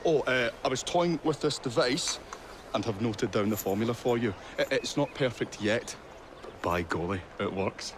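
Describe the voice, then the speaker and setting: A man's voice: medium pitch, lively intonation, normal speed, close to an everyday voice. Man as flustered Victorian inventor, some background noise. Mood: flustered, courteous. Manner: flustered, hesitant, old-fashioned polite. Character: earnest, flustered, courteous Victorian male inventor. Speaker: game character actor. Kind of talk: video game cutscene acting.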